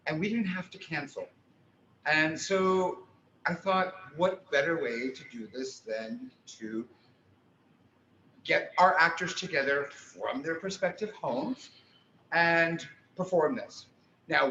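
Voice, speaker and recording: A man in his sixties, the director and host, introducing the reading in a chatty, halting way from a small cabaret stage over a video call. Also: a little high, pushed and bright. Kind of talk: casual introduction. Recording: roomy, muffled, slight noise.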